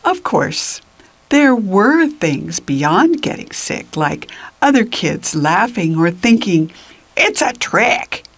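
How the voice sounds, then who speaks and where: An older woman reading a children's picture book aloud in an expressive, deliberate manner that drops into whispers, with little asides to the listeners. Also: on the low side, no echo. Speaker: children's storybook reader.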